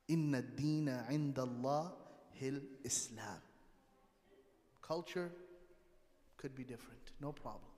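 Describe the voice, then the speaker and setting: A middle-aged man from Pakistan who grew up in Canada, giving an informal sermon as a religious teacher, emphatically, in short repeated phrases with pauses. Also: fairly high pitch, slight background noise. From born in Pakistan, grew up in Canada. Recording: reverberant hall, long echo.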